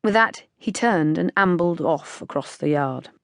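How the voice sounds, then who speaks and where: A British woman reads a short story in measured literary narration. Her voice sits a little low for a woman, and the recording is dry and close. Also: expressive, dry recording. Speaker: audiobook narrator.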